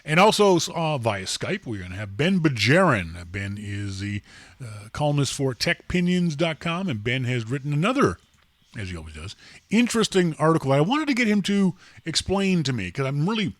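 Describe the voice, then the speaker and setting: A man's voice: fairly high pitch, sing-song, moderate pace, dry recording. A man hosting a live tech podcast, rambling and joking in casual chat with many fillers and restarts. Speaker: podcast host.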